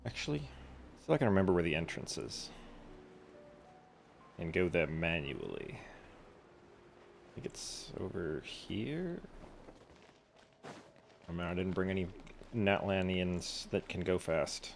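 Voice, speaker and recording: Casual female game streamer: low, fry-heavy voice, dry and deadpan running commentary. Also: slow pace, long pauses, faint music behind.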